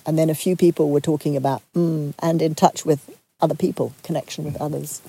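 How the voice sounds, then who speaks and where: A retreat leader from Zambia giving a soft, reflective talk to a group, pausing often and restarting phrases. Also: mid-range voice, little pitch movement, gravelly, slightly rough, low-quality recording.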